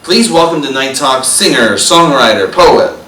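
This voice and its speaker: A young man hosts a talk show with a bright, forceful voice and earnest, announcer-like emphasis. He sits well back from the microphone, so his voice sounds distant and roomy. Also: mid-range voice, expressive, measured pace, slight background noise. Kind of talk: talk show hosting and poem reading.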